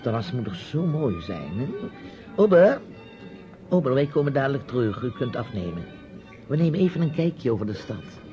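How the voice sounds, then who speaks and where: A man's voice: mid-range voice, sing-song, normal speed, mellow, lightly acted. A muffled old radio drama with music behind. A man acts a probing, reproachful uncle whose voice swings widely as he presses his questions. He is patronising and insistent. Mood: brisk, pointed. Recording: dull old radio play recording, music.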